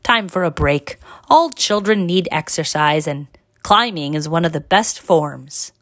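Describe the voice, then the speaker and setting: A woman voicing a patient, encouraging male master potter who teaches in a measured, instructive way. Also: low voice, lively intonation, fairly bright tone, dry recording, studio quality, heavily acted.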